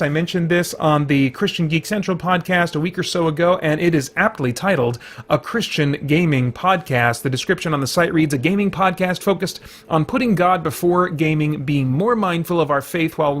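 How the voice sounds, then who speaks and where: A man hosting a podcast, running through community news in a casual, unscripted way, thinking aloud as he goes. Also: fairly high pitch.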